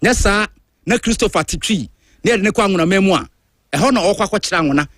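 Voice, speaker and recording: A man from Ghana with a Ghanaian accent, hosting a radio drive show in a studio and talking in an emphatic, animated, opinionated way while switching between languages. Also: high voice, fast, no echo. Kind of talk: opinionated talk-radio monologue.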